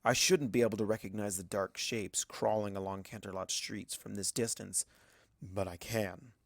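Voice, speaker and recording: A man's voice: on the low side, fairly even intonation, moderate pace, gravelly, no echo, clean studio sound. A man reading a first-person story aloud as dramatic scripted narration. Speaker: audiobook narrator of fan fiction.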